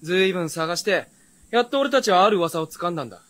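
A brash young servant in a period radio drama, cheeky and casual. The voice is high for a man and swoops around in a very animated way. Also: fast talker. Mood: wry. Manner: casual, cheeky, rough speech. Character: brash, breezy, rough-spoken young male retainer.

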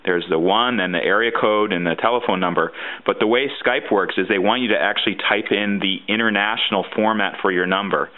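A man's voice: fairly low pitch, fairly quick. A man presenting an informal how-to demonstration, explaining things step by step. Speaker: tutorial presenter and guide author. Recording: muffled, phone-like narrow-band sound.

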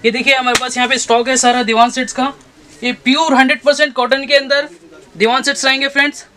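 High-voiced young salesman. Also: level tone, over music. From India. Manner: brisk salesman, repeats "friends" constantly. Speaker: shop owner showing products. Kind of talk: sales pitch vlog. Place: textile shop, indoors.

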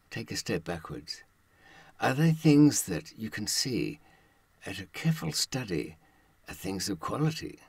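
A man in his seventies giving a reflective spoken monologue to camera, with deliberate, dramatic phrasing, pauses and rhetorical questions. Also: low-pitched, breathy edge, no echo.

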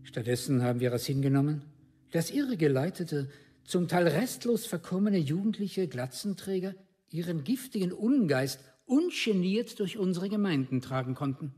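Indignant grave orator, raspy, slightly high voice, measured formal reproach, documentary radio play. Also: male voice, lively intonation, warm tone. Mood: grave, reproachful. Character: solemn, indignant orator accusing his community.

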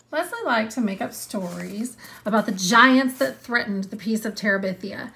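A woman's voice: medium pitch, fairly bright tone. A woman in her thirties, an elementary school teacher, reading aloud and explaining with questions to students, stressing key words. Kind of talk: read-along lesson with questions to students.

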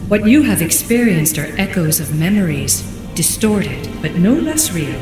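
An actress playing a solemn, ancient godlike guardian spirit in a video game, speaking in a measured, formal, oracular way. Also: female voice, lowish voice, very animated. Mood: solemn, calm. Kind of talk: scripted video game cutscene acting. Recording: echoey hall reverb, music behind.

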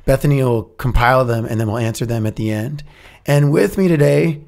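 Hoarse-voiced man hosting a podcast. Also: mid-range voice, fairly quick. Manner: relaxed, laughs often, many fillers. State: froggy, hoarse voice. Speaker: podcast host. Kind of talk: casual podcast chat. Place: recording studio.

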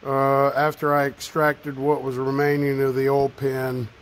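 A man talks through his work in a home garage at an unhurried pace, pausing often with fillers as he goes. His voice sits in a middle pitch. He stays off-screen, speaking to a handheld camera. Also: monotone, a little hiss or hum, low-quality recording. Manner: slow, halting, with frequent fillers. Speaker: home mechanic vlogger. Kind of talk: casual narration.

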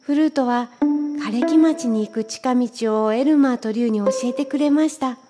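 A woman's voice: on the high side, normal speed, loud music behind the voice. A woman reading a children's story aloud as scripted narration. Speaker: storybook reader.

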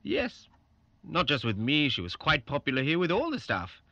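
A solicitous man on an alarm line in a radio play. His bright, very lively voice comes through a dull intercom speaker, courteous and sympathetic. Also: a little high, normal speed, no room sound, full character voice. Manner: polite, solicitous. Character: Paul, courteous, sympathetic male alarm-company call operator.

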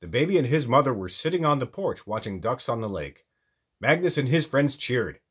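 A man reading a children's storybook aloud as an animated storytime narrator. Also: medium pitch, brisk, slightly rough. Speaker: storytime reader.

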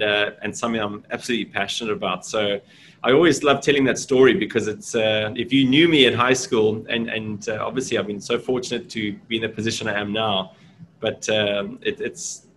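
A man's voice: on the low side, fairly bright tone, a little hiss or hum. A middle-aged man from South Africa, a school deputy principal, talking earnestly and reflectively in a casual interview over a video call. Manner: earnest, reflective, lots of fillers. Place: indoors, small room, video call. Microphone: video call webcam.

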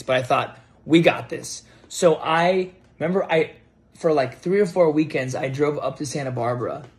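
An American man in his thirties telling a story casually to camera, animated and halting as he repeats words. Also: medium pitch. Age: 30s. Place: home kitchen, indoors. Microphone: phone propped on table at arm's length.

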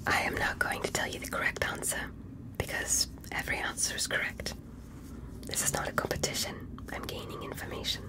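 A woman whispering in an ASMR roleplay as a courteous alien doctor, giving careful, formal instructions in a breathy voice. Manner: slow, careful, gently instructing, formal wording. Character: curious, courteous alien doctor examining a human. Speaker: ASMR performer playing an examiner.

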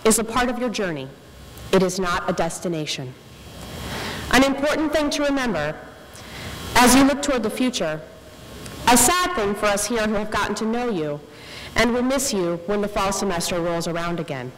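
A young woman delivers a prepared commencement speech, earnest and measured, at a medium pitch. She speaks into a podium mic, and her voice rings through a big hall with noise behind it. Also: expressive. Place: large indoor ceremony hall, on stage. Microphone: podium microphone. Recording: reverberant hall with clear background noise.

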